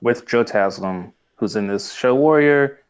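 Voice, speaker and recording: A man's voice: mid-range voice, a little slow, clear, resonant tone, lo-fi sound. A man co-hosting a podcast in casual chat about a cartoon, often acting out bits of imagined dialogue. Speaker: podcast co-host.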